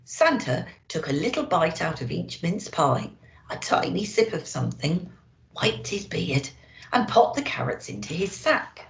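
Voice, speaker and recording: Casting: female children's storyteller, very expressive, lowish pitch, unhurried, deliberate delivery. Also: roomy sound, low-quality recording. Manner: deliberate, animated, addressing children. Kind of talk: expressive picture-book reading for children.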